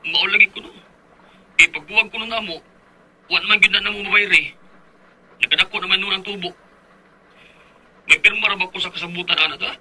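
An actor playing an uneasy, resentful live-in boyfriend in a Cebuano radio drama, with a rough husky voice. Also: male voice, high voice, fairly even intonation, a little fast, long pauses, pushed and bright, a little hiss or hum, rough recording. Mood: uneasy, apologetic.

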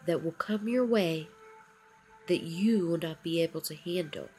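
A woman with a slightly low voice leads a guided meditation over music. She speaks very slowly and soothingly, leaving long gaps between short, encouraging phrases. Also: a little mellow. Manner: encouraging affirmations. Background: background music.

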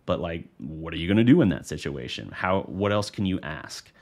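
Low-voiced American man talking sports. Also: lively intonation, moderate pace. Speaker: sports show host and analyst. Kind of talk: casual unscripted basketball postgame commentary. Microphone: studio microphone on a boom arm.

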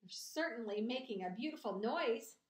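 A woman's voice: mid-range voice, normal speed, lightly acted. Woman as blunt old gossiping ewe, echoey room. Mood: snappish. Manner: matter-of-fact, snappish. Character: old female sheep, blunt, cynical, gossipy farm animal.

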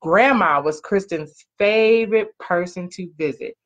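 A woman's voice: lowish voice, a little slow. A woman reading a children's storybook aloud expressively, drawing out key words and prompting young listeners with questions. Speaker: parent-like storyteller reading a picture book to children. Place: small living room, on a couch. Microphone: webcam or laptop mic at arm's length. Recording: muffled lo-fi webcam audio.

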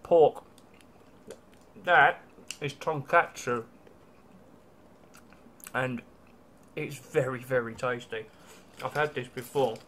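A young man with a British accent chats wryly into a laptop webcam. He talks in a middle pitch and fills his sentences with ums and restarts. A faint hiss of room noise sits under his voice. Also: slow, pauses often, fairly bright tone. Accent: British English. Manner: chatty and wry, with many ums and restarts. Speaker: travel vlogger. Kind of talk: casual food-tasting vlog. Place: small hotel room, indoors.

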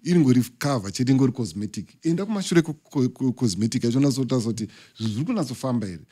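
A middle-aged man with a Zimbabwean accent holds forth in a studio podcast, rattling quickly through his points. His voice is mellow and dark, and he presses each point home with emphasis. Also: mid-range voice, lively intonation. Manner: emphatic, lecturing, talks with his hands. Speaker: podcast guest, music industry figure. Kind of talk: podcast interview, mixing English and Shona. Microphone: studio mic on boom arm.

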